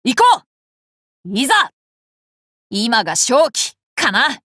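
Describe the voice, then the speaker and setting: A woman's voice: on the high side, big pitch swings, slow, bright, forceful tone, no echo, studio quality, heavily acted. A woman voicing an eager, polite young boy king and swordsman in a Japanese game, with a confident, curious manner. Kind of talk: Japanese anime game battle voice lines.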